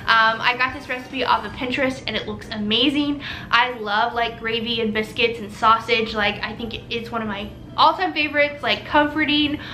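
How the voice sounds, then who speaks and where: A woman's voice: a little high, fairly even intonation, normal speed, pushed and bright, slight background noise, low-quality recording. Chatty young woman vlogging a recipe. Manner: chatty, filler-heavy, upbeat. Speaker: cooking vlogger. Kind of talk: casual cook-along narration. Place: living room at home, indoors.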